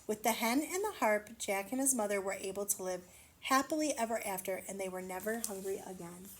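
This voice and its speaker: A woman reading a storybook aloud to children, expressively, pausing to wonder aloud and explain things simply. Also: mid-range voice, a little hiss or hum, low-quality recording. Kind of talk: children's read-aloud with asides to the listener.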